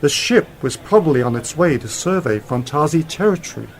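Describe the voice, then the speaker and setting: A man's voice: a little high, animated, fairly quick. A man acting as a brilliant, confident space-travelling scientist uncle in a radio drama, explaining things in an assured, theatrical way. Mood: explanatory. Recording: noticeable background noise.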